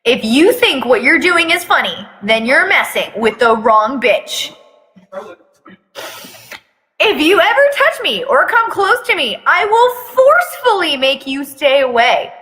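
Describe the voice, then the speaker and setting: A fierce teenage boy , acted out by a woman reader, gives curt, threatening lines in a fan fiction story. The voice is high and forceful, ringing in long reverb. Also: sing-song, measured pace, heavily acted. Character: fierce, hostile teenage boy warning someone off. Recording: long echoing room reverb.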